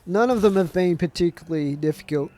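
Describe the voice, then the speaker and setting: A man's voice: high voice, a little fast, dark tone. A young man with a stuffed-up voice from a chest infection, giving casual, rambling commentary over a zombie shooter game. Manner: chatty, trailing off with fillers. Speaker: gaming commentator.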